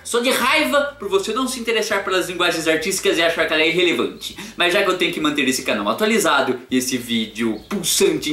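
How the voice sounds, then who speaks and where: A man hosts an art history lesson with showy, theatrical delivery. He swings from loud, sarcastic jabs to quiet, thoughtful asides. The voice sits in the middle of his range, recorded in an echoey room with soft music playing underneath. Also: mid-range voice. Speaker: art history channel host.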